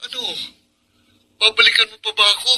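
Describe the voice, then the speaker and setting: An actor playing a heartbroken, anxious young Filipino boyfriend in a radio drama. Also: male voice, high voice, flat delivery, brisk, bright, forceful tone, rough recording. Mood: pleading, hurt.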